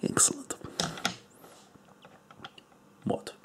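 A man voicing an eccentric, crude foreign male doctor in a deadpan whisper. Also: slight background noise, lightly acted. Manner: whispery, slow, deadpan joking. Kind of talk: comedic ASMR roleplay.

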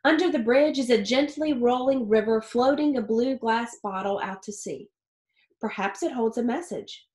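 High-voiced woman reading aloud in an echoey room. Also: normal speed, a little mellow. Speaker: teacher reading a picture book aloud. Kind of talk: expressive children's read-aloud. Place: small home office or study with wooden shelves. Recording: roomy, echoey indoor sound.

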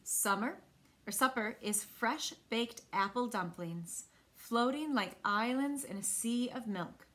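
A woman in her thirties with an American accent, reading a picture book aloud to children with expressive, clearly phrased delivery. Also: a little high, slow. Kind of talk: children's read-aloud storytelling. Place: small indoor room.

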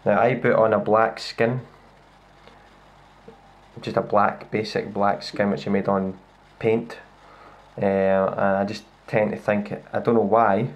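A man with a Scottish accent gives a casual, hands-on demo of a laptop, talking in a relaxed way with lots of fillers and restarts, recorded on a handheld camera indoors. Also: lowish voice, takes it slowly, lo-fi sound. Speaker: tech reviewer. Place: indoors at a wooden table. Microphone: handheld camera filming a laptop screen.

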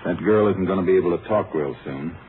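An actor playing a calm, terse Texas Ranger investigator in a 1950s radio drama. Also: male voice, low-pitched, quick pace, close to an everyday voice. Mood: businesslike. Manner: terse, matter-of-fact. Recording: muffled, noisy old radio recording.

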